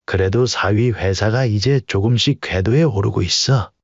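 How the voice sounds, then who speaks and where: A man plays a steady older father in a storybook audio drama, his voice low and unhurried. The sound is dry and slightly dull, with no room around it. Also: no echo. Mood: calm, reassuring. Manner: measured, fatherly, speaks in plain statements. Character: calm older father backing his son-in-law.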